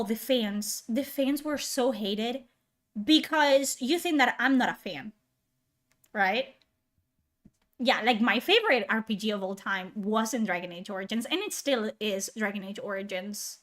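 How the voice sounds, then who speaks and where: Woman streamer going off, sarcastic and sweary, kinda high voice. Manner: heated, sarcastic, profane. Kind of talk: ranting stream commentary.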